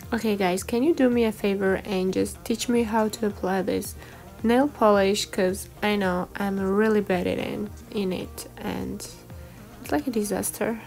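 A woman's voice: mid-range voice, steady tone, a little slow, vocal fry, a little mellow, poor audio. Chatty woman over background music. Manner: chatty, self-deprecating. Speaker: beauty vlogger. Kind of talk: casual tutorial voice-over.

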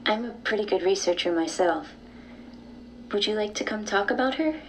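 An actress playing a mysterious, reclusive woman with occult leanings in an audio drama, measured and calmly insistent. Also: medium pitch, slight background noise, natural voice, low-quality recording. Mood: secretive, insistent. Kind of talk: naturalistic audio drama acting.

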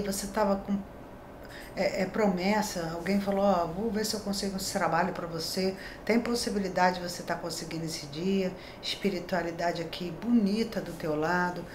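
A middle-aged woman talks through a tarot reading at a desk camera, chatty and reassuring. Her voice sits at a mid pitch and carries a clear echo off the small room, with a faint hiss underneath. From Brazil. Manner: chatty, reassuring, tag questions. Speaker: tarot reader. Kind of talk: daily horoscope tarot reading. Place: small decorated room indoors.

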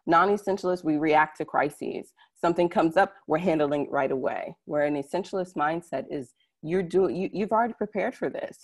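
Female webinar presenter, low register, conversational delivery that speaks directly to the audience. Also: darkish tone. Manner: conversational, directly addressing listeners. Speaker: conference presenter. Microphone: computer mic on a video call.

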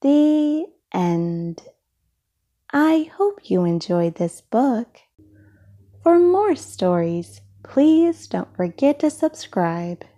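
A woman with a slightly husky voice reading a children's storybook aloud, expressively and with deliberate phrasing for young listeners. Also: fairly high pitch, very animated, warm tone, highs cut off. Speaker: storybook reader.

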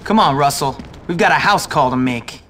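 Brash, sardonic young troublemaker for a game, animated, forceful bright tone. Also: male voice, fairly high pitch, moderate pace. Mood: wry. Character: cocky, sarcastic, tough teenage schoolboy. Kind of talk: video game cutscene acting.

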